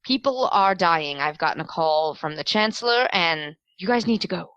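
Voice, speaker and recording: A woman with a low-pitched voice narrates a tabletop roleplaying game as game master. She is informal and joking, talking conversationally in a dry, close recording. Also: expressive, normal speed, a bit bright, no room sound, muffled recording.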